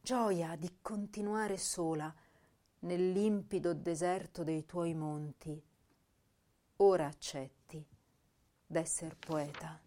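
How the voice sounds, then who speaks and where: A woman's voice: fairly low pitch, slow. An actress playing an intense, troubled young woman poet of 1930s Italy, reading diaries and verse expressively in a radio drama. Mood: solemn, slow. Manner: expressive, introspective.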